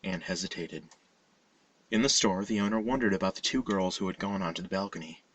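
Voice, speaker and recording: A man reading a scripted horror story as narrator, in a measured, dramatic storytelling manner. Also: deep voice, fairly bright tone, distant mic, low-quality recording. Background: music.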